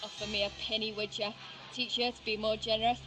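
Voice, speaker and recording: A woman playing a brash, bawdy, sharp-tongued wench in a historical audio drama, with an English rustic dialect. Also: fairly high pitch, little pitch movement, fast talker, music in the background, natural voice, rough recording.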